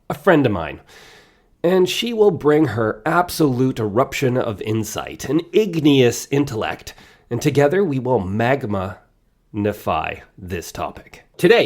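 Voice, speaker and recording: Male podcast host, thirties, playful punster, casual interview tone. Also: medium pitch. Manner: playful, punning and self-deprecating.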